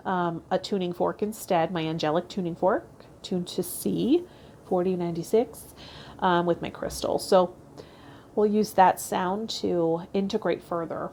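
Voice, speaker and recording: Woman, slightly low voice, mellow and relaxed tone, tarot vlog host, faint background noise. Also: measured pace. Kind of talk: reading card meanings aloud and casual vlog talk.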